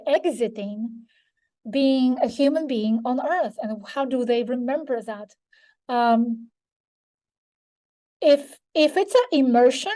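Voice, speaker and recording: A woman, slightly hoarse, answering audience questions as a conference speaker, thoughtful and searching for words with frequent restarts. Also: sits high, warm tone, low-quality recording. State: slightly hoarse, losing her voice.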